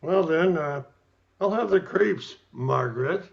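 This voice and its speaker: Man playing arrogant, slow-talking handsome gent. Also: on the high side, animated, gravelly. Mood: fussy, patient. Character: arrogant handsome man, a fussy diner customer. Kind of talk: amateur radio comedy play reading. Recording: dull lo-fi audio.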